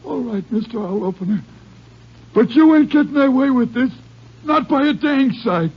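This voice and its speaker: A stubborn small-town pump man with a high, husky, swooping voice. He talks in a folksy way, protesting as he goes, in a dull old western radio drama recording. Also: lively intonation, normal speed, exaggerated voice. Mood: defiant. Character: rural gas station attendant, plain stubborn country man. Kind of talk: old-time radio acting.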